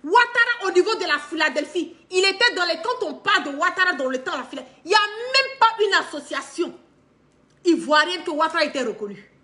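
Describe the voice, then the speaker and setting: A middle-aged woman with an Ivorian accent speaks in an extremely high voice with lively, swinging intonation. She delivers an impassioned live-stream commentary from a room at home, hammering points through emphatic repetition. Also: fairly quick. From Ivory Coast. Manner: emphatic, repeats phrases for stress, animated with hand gestures. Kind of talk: impassioned, unscripted monologue to camera. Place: indoor living room.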